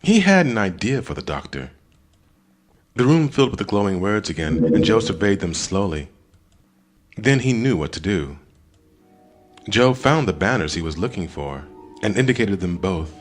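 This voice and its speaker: A man reads an audiobook as a dramatic storyteller. His voice is on the low side, with lively rises and falls. He uses deliberate pauses, and faint music plays underneath. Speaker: audiobook narrator. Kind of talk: dramatic scripted narration.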